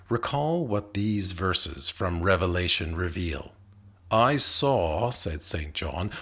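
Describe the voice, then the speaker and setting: A man with a fairly low voice reads narrative verse as a literary recitation. He takes it very slowly, phrasing each line with care. His intonation is highly varied, giving key words expressive weight. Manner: measured, deliberate phrasing with expressive emphasis. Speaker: reader of poetry.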